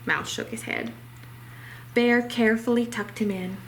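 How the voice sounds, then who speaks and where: A woman's voice: on the high side, steady tone, unhurried, a little hiss or hum, poor audio. A woman reading a children's story aloud expressively, as a library storytime reader.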